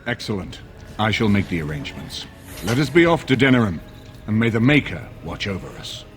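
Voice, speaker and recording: A man's voice: fairly low pitch, sing-song, a little fast. An actor playing a resolute, grave nobleman in a fantasy game, with a formal, stately delivery. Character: grave, resolute noble lord rallying allies. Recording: loud game music under the voice. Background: loud music.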